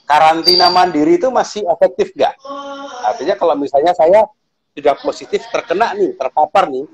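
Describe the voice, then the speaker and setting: A man's voice: high voice, brisk, over a noisy crowd, poor audio. A man hosting a live online chat, asking hesitant, winding questions with many fillers. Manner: hesitant questions, frequent backchannel. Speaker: host.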